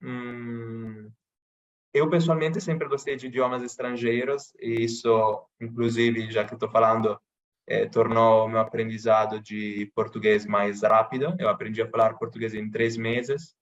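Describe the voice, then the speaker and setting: A man from Italy speaking with an Italian accent, chatting casually in a podcast interview with many pauses and restarts. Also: mid-range voice, level tone, muffled recording. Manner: hesitant, many restarts and fillers. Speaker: interviewee, channel host.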